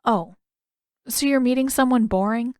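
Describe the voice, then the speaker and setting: A woman's voice: fairly high pitch, normal speed, darkish tone, no echo, studio quality, pitched above the performer's own voice, lightly acted. A woman voicing an eager, innocent young boy in an audiobook story, earnest and full of questions. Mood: curious, eager.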